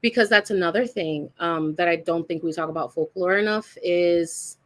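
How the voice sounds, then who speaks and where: Woman podcast co-host, slightly low voice, chatty and animated. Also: normal speed. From Puerto Rican heritage, raised in the US. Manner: animated, chatty, thinks aloud with many likes. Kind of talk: casual panel chat.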